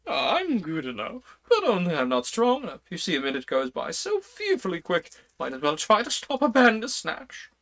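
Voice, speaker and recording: Storybook read-aloud: man playing a fussy old king, high-pitched and exclaiming, bright tone. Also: wildly swooping pitch, normal speed, few pauses, heavily acted.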